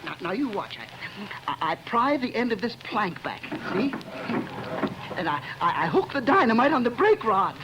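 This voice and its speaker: A man's voice: high voice, huge pitch swings, normal speed, highs cut off. A man playing an unhinged bomber who stammers, giggles and talks like a child, in old-time radio drama style. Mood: gloating. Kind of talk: 1930s radio drama acting. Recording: old radio recording with noise.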